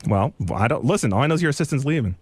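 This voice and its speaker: A man with a nasal voice arguing loudly and needling a coworker on a radio show. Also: mid-range voice, animated, breathy edge, dry recording. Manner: loud, needling and quick. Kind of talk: heated on-air argument.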